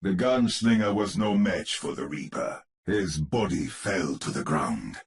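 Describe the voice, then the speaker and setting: An actor playing a grave storyteller, narrating a gothic horror tale in a theatrical, measured, ominous manner for a game. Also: male voice, deep voice, animated. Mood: ominous, dramatic. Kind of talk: dramatic scripted storybook narration.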